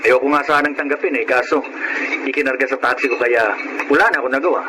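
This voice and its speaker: A man's voice: medium pitch, fairly even intonation, fast talker, close to an everyday voice. A man playing a humble, honest, middle-aged bachelor taxi driver in Filipino radio drama, polite, modest and earnest. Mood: resigned, content. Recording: noisy radio drama recording.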